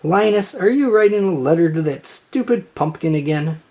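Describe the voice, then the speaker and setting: A reader putting on a bossy, animated young girl. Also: medium pitch, normal speed, voice pushed up. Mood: scornful. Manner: scornful, exasperated. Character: bossy, scornful young girl, exasperated big sister.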